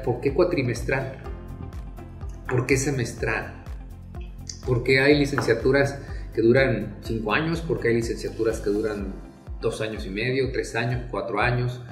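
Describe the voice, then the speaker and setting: A middle-aged man from Mexico giving a university talk, measured and hesitant, with many fillers and restarts. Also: on the low side. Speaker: university lecturer. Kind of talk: academic talk to prospective students. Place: small plain room, indoors. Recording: echoey room, faint music behind.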